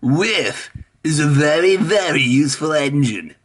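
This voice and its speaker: A reader voicing Spencer, a proud, impatient big male engine, who speaks in a huffy, slow, grumbling way. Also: mid-range voice, lively intonation.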